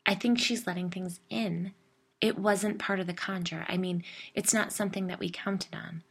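A woman reading a story voices an anxious, defensive suburban woman who is haunted by a ghost and pleads in a high, strained voice. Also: fairly even intonation, normal speed, gravelly, husky. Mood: hushed, uneasy.

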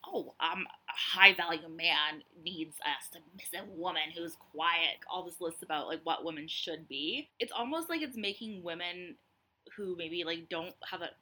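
A woman's voice: on the low side, a little slow, bright, forceful tone, slight background noise, poor audio. A young Canadian woman, a podcast guest, talking candidly and assertively in casual conversation. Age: young adult. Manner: candid, calls herself assertive.

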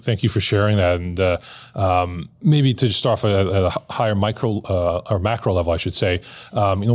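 A man hosting a podcast interview, asking friendly, rambling questions full of fillers and restarts. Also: lowish voice. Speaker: podcast host.

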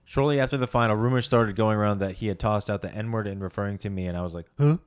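A man's voice: lowish voice, quick pace, smooth. A man co-hosting a comedy podcast, casually telling anecdotes in a crude, joking way. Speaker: podcast co-host.

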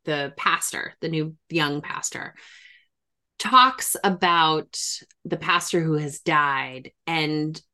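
A woman's voice: fairly low pitch, sharp tone. A woman hosting a reflective interview, speaking in an effusive, emphatic way. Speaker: interviewer, podcast host.

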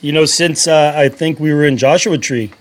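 A man from the United States hosting a spiritual podcast, speaking in an earnest, heartfelt way with many pauses and fillers. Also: on the high side. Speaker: podcast host.

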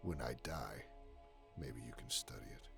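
A ruthless warlord in command of a sci-fi army. The voice is extremely deep and gravelly, with dramatic swings and an imperious, menacing authority. Faint music runs underneath. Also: male voice, unhurried, full character voice. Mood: quiet menace. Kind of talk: sci-fi war audio drama acting.

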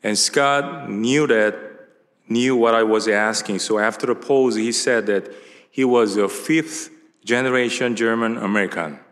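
A man in his fifties from South Korea with a Korean accent, preaching a relaxed, conversational sermon with many filler words. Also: medium pitch, measured pace. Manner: relaxed, conversational, frequent uh and you know fillers. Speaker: pastor. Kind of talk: sermon with personal storytelling. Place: large church sanctuary at a pulpit. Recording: long hall reverb.

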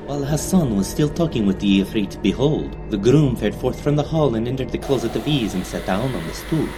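A man's voice: medium pitch, lively intonation, brisk, over music. A man narrating a scripted dramatic tale in a formal, archaic, theatrical storytelling manner.

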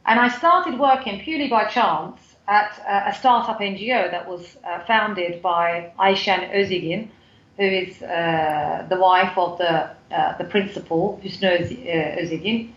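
Guest: British woman, mid pitch, chatty and halting, roomy muffled remote audio. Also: fairly bright tone. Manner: chatty, with frequent fillers and restarts. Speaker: guest, foundation CEO. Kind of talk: podcast interview.

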